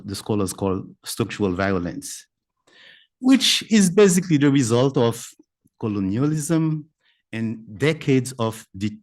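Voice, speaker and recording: A man from Haiti, a physician speaking on a conference panel in a measured, thoughtful way with pauses and fillers. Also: on the low side. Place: conference breakout room.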